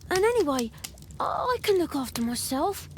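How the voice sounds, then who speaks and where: High-voiced anxious London boy. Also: big pitch swings, normal speed, a little hiss or hum. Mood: earnest, defensive. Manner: hesitant, respectful. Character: anxious, proud, poor young London boy. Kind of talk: video game voice acting.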